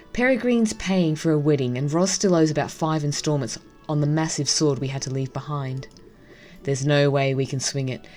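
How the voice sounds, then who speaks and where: A woman's voice: deep voice, mellow. A woman reading a game's narration aloud with casual commentary, wry and amused. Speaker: game streamer.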